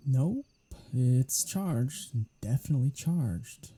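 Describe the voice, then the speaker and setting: Snarky vampire for ASMR roleplay performer acting, very breathy and mellow, unhurried but lively in pitch, casually menacing and teasing. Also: background noise. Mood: dry, bantering. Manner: sardonic, casual, swearing, teasing. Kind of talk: soft-spoken ASMR audio roleplay.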